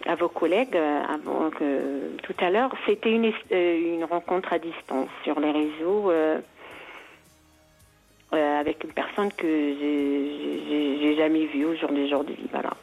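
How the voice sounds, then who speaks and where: A woman's voice: low-pitched, unhurried, a little mellow, husky, soft background music, poor audio. A woman from Algeria calling in to tell a personal story, hesitant and full of restarts. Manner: hesitant, many restarts and fillers. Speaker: caller.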